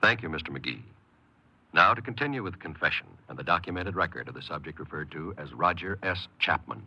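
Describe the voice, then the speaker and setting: A man's voice: sits low, expressive, fairly quick, pushed and bright. A man announcing formally as the presenter of a 1950s radio programme. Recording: muffled 1950s radio recording.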